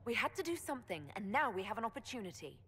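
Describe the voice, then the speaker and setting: An actress playing a direct, plucky quest heroine in a fantasy game, plain-spoken in manner. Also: female voice, on the high side, very animated, fast talker, fairly bright tone, a little hiss or hum. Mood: direct, determined. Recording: long hall reverb.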